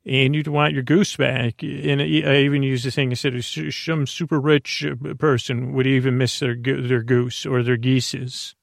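Gravelly, creaky man rambling along. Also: mid-range voice, flat delivery, no echo, clean studio sound. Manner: halting, digressive, repeats and trails off. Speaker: host of a bedtime sleep podcast. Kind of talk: rambling, meandering monologue.

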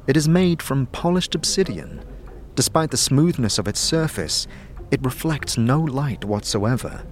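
A man's voice: mid-range voice, animated, unhurried, slight background noise. A man reading scripted fantasy narration dramatically, as the storyteller of an audio drama.

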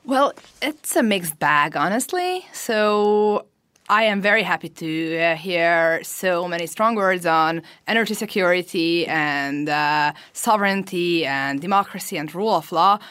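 A woman's voice: fairly low pitch, expressive, measured pace, fairly bright tone, smooth, dry recording. A woman, a member of parliament, answering earnestly with some hesitations. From Hungary. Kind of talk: interview answers.

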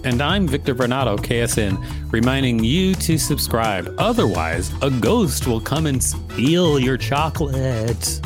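A man's voice: medium pitch, big pitch swings, measured pace, over music. A man co-hosting a podcast, reading encyclopedia text aloud with casual asides. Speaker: podcast co-host.

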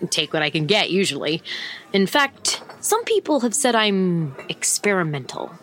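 A small-town woman detective, flirty and quick with a wisecrack. Her voice is bright and swings freely in pitch as she banters, in audio drama style, with faint noise and insects behind her. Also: very animated. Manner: teasing, bantering.

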